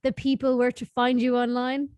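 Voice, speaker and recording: Higher-voiced woman hosting a casual podcast. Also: completely flat delivery, moderate pace, darkish tone, dry recording. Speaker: podcast host.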